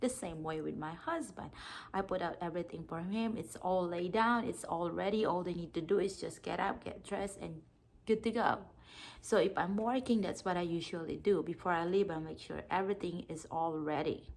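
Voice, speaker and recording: A woman's voice: fairly low pitch, normal speed, creaky. A woman in her thirties from the Philippines, chatting casually to camera in a rambling way, with many fillers and restarts. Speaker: vlogger.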